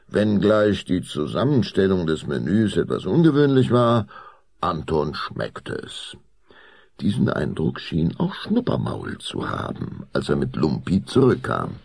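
A man narrating a spooky children's radio play in a deliberate, expressive storytelling manner. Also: low voice, gravelly, breathy edge, dry recording, heavily acted.

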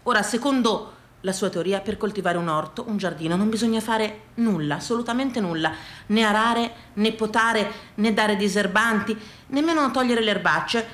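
A woman performing a comic storytelling monologue on stage, with rhetorical questions, repeated phrases and dramatic pauses. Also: medium pitch, a bit bright. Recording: echoey room.

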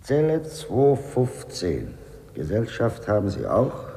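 A sardonic chief warder in a 1950s radio play. He talks very slowly with a widely swinging, mocking tone. The voice rings in a long, echoey reverb, gloating and folksy. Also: medium pitch, huge pitch swings, vocal fry, dark tone, slight background noise, exaggerated voice.

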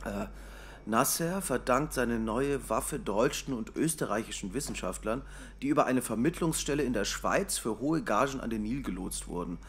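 A man's voice: mid-range voice, lively intonation, moderate pace, fairly bright tone, slight background noise. Stammering, flustered young guy. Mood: reading aloud. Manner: nervous, stammering. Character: flustered young German, defensive.

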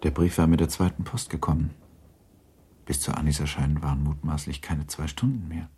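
A man narrating a literary radio play in a gravelly voice, as a grave, measured, composed storyteller. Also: very deep voice, warm tone, heavily acted. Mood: grave, restrained. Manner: measured, sober.

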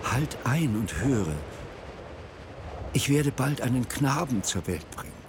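A man's voice: mid-range voice, lively intonation, takes it slowly, noisy background, pitched above the performer's own voice. Radio play fox woman, voiced by male storyteller: earnest, pleading, proud, faint ambience behind. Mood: urgent.

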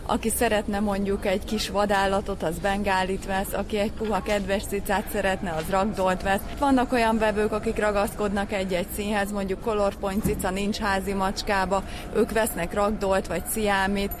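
A woman's voice: mid-range voice, flat delivery, few pauses. A woman giving matter-of-fact, informal expert explanations as an interview guest. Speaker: cat breeder and show judge, interviewee. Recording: clear noisy background behind speech.

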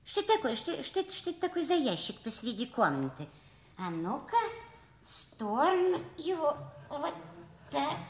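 A woman's voice: high-pitched, big pitch swings, moderate pace. A woman playing a fussy, determined widowed housekeeper in a 1960s radio play, muttering to herself in short repeated phrases. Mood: fussy, indignant. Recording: echoey room.

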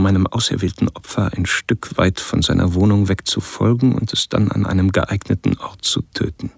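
An actor playing a coldly methodical serial killer, speaking a calm, measured, clinical monologue in a radio drama. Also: male voice, sits low, creaky, slightly rough, no echo, studio quality, heavily acted. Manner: measured, clinical, matter-of-fact.

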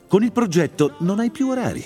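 A man's voice: high-pitched, lively intonation, brisk, darkish tone, faint music behind. A man playing a pushy, persuasive company manager in a radio drama, talking like a patronising salesman. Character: slick, pushy male company manager selling flexibility. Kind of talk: theatrical radio drama dialogue.

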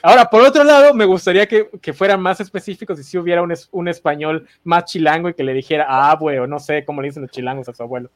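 High-voiced dude ranting quick and snarky on a podcast, dry sound. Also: male voice, no room sound. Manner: opinionated, sardonic, quick rants. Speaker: podcast panelist. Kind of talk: casual panel chat.

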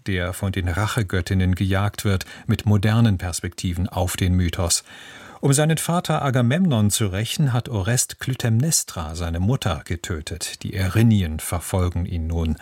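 Low-pitched male radio announcer, standard German. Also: dry recording. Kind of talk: scripted introduction.

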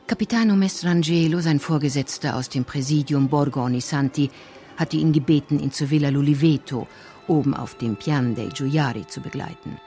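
Medium-pitched storyteller over faint music. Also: a little fast, warm tone. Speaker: narrator of a radio crime drama. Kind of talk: scripted literary narration.

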